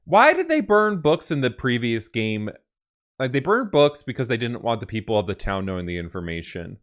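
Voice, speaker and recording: A man's voice: mid-range voice, sing-song, moderate pace, a bit bright. A man hosting a gaming reaction video, rambling casually and thinking aloud.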